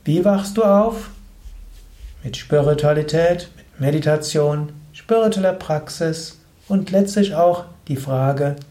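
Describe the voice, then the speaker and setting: A man giving a slow, measured spoken lesson on yoga philosophy, explaining with thoughtful pauses. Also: a little high, warm tone. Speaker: yoga teacher. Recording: roomy echo.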